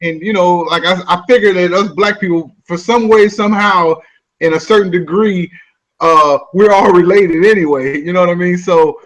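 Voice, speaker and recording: A man's voice: high voice, moderate pace, fairly bright tone, rough recording. A man from the Midwest hosting a casual chat, loose and joking. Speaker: host.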